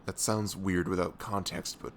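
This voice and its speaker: A man playing a devoted, goofy young best friend in an ASMR audio roleplay, earnest and nervously lovestruck, with a soft velvety voice. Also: low-pitched, steady tone, slight background noise. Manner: hesitant, halting, earnest.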